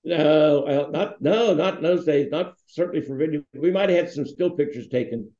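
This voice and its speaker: An older man, founder of a foundation, telling relaxed, rambling stories with fillers over a video call from his home. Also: on the high side, moderate pace. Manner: rambling, anecdotal, with many fillers. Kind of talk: relaxed storytelling. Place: home room with wall hanging. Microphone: video call webcam. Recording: roomy, slightly dull webcam audio.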